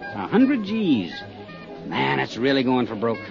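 An American actor playing a slangy, wisecracking roadhouse owner with a breezy, sardonic patter in a 1950s radio drama. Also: male voice, mid-range voice, sing-song, unhurried, gravelly. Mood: cynical, needling. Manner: jive-talking, breezy, sardonic. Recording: dull vintage radio recording, music behind.

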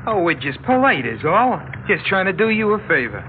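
A man's voice: high voice, sing-song, normal speed. A man playing a cocky young gang leader in a radio drama, taunting in slangy, mock-polite talk. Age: young adult. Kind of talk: 1960s radio drama acting. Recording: muffled, noisy old radio recording.

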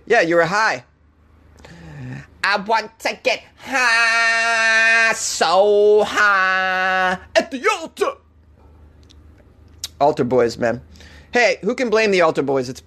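A man with a Boston accent hosting a comedic weird-news podcast, rambling and joking irreverently, often laughing at his own lines. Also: fairly high pitch. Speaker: podcast host.

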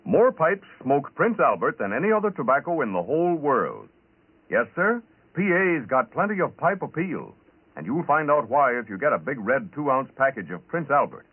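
A man with an American accent delivering a scripted 1940s radio advertising pitch, on a tinny old recording. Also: very animated, moderate pace, breathy edge. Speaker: commercial announcer.

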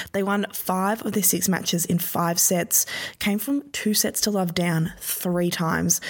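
A woman co-hosting a sports news podcast, telling the stories in a lively, brisk way. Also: lowish voice. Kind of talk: scripted sports news reading.

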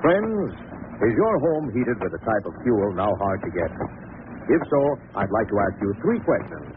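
A man announcing a scripted 1940s radio commercial in an emphatic, measured, salesmanlike manner. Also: fairly low pitch, big pitch swings. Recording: noisy, narrow-band old radio recording.

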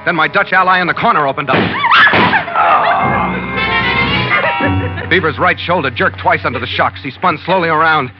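An actor with an American accent playing a steady, wry ship's captain who narrates his adventures in an old radio drama. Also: fairly high pitch, quick pace, natural voice. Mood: tense narration. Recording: dull old radio recording, music behind.

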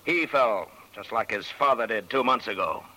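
Hard-nosed sardonic police sergeant, forceful delivery, skeptical, 1940s radio drama style. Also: male voice, fairly low pitch, moderate pace, a little hiss or hum, rough recording. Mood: skeptical pressing. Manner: sardonic, pressing.

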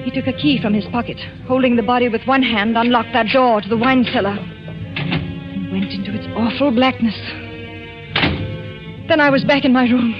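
An actress playing an anxious, fearful French wife in a 1940s radio thriller, halting and dramatic. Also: female voice, on the high side, close to an everyday voice. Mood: hushed dread. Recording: muffled vintage radio recording with music.

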